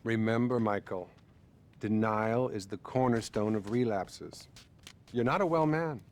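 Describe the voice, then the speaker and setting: An actor playing a patronising, bluntly judgmental male therapist in a video game, measured and condescending. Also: on the low side. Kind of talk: video game cutscene acting.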